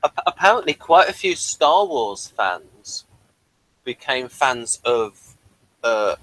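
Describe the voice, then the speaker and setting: A man with a bright, forceful voice at a medium pitch, recorded dry. He chats informally as a panelist on a review show. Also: very animated, takes it slowly, no echo, low-quality recording. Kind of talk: casual chat.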